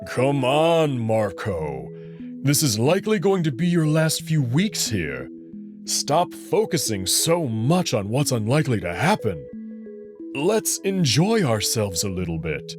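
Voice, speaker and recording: Confident male alien wolf, acted by a man, teasing yet protective, formal edge, very expressive pitch, visual novel with clear backing music. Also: measured pace. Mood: coaxing. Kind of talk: visual novel character acting. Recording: music behind the voice.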